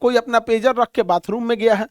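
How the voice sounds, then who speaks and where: High-voiced folksy male teacher. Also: a little fast, no room sound, clean studio sound. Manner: animated, repetitive, blunt and folksy with homely comparisons. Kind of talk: explanatory lecture on current affairs.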